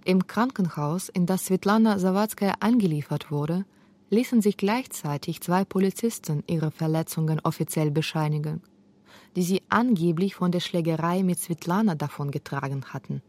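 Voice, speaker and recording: A woman's voice: lowish voice, moderate pace, a little mellow. A woman reading scripted narration for a radio documentary. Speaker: narrator and author of a radio feature.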